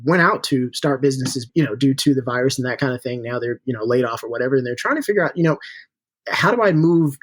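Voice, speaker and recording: Man, podcast guest, medium pitch, casual conversational answers, upbeat, encouraging, dry sound. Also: expressive, fast talker, no echo. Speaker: podcast guest, startup CEO and founder.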